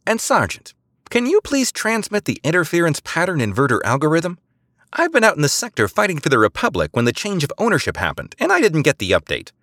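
A man narrator puts on a woman spy who bluffs over the comm with imperious authority. Her voice rides high and loud, swinging widely as she barks orders. The sound is dry and close. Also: very animated, normal speed, no echo. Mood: commanding.

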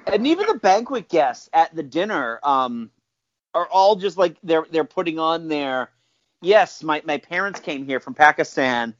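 Animated man in lo-fi chat. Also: on the high side, unhurried. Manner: animated, laughs often, mocks accents. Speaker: podcast co-host. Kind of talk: casual chat.

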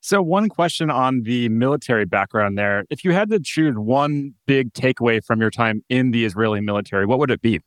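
Fast-talking male podcast host. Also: medium pitch, lively intonation, no echo. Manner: brisk, prepared questions. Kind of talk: interview hosting.

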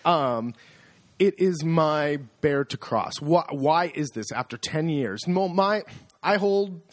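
An American man co-hosting a casual podcast chat, self-deprecating and animated, often restarting his sentences. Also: fairly high pitch, takes it slowly, dry recording. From United States. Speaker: podcast co-host.

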